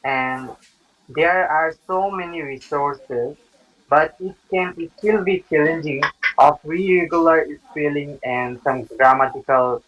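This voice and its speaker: A man's voice: on the high side, a bit bright, lo-fi sound. Hesitant Bangladeshi young man. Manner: hesitant, halting non-native English with many fillers. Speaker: test candidate. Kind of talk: practice speaking test.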